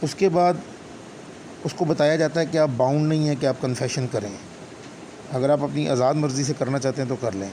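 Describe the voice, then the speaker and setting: A man with a Pakistani accent giving an explanatory law lecture, deliberate and instructional, mixing in English legal terms. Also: mid-range voice, low-quality recording. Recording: clear steady background noise, hum.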